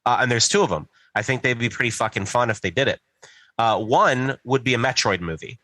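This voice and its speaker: A man chatting casually as a podcast co-host, swearing freely and doubling back on his words in a halting way. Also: medium pitch, bright, forceful tone, dry recording. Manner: halting, repetitive starts, profane. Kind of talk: casual chat.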